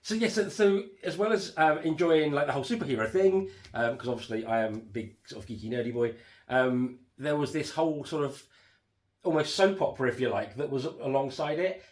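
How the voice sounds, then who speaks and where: A man co-hosting a casual home podcast, rambling with lots of fillers and restarts, his voice going hoarse. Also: mid-range voice, brisk. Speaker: podcast co-host reviewing a TV series. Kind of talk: casual chat.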